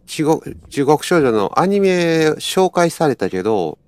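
A man's voice: a little high, sing-song, slow, a little mellow, no echo. A man taking part in a group talk, chatting casually. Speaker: talk show participant. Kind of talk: casual chat.

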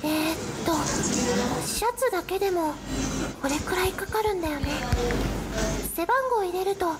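A woman playing an earnest, easily flustered high school club captain in a Japanese radio drama. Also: animated, moderate pace. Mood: worried, hesitant. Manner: hesitant, protesting when pushed. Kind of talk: Japanese anime-style radio drama acting. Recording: music bed under the voice.

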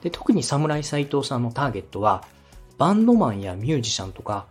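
Husky-voiced man coaching with lively intonation. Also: mid-range voice, fast, soft background music. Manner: polite, encouraging. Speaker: podcast host, radio director giving advice. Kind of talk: conversational coaching, polite explanation.

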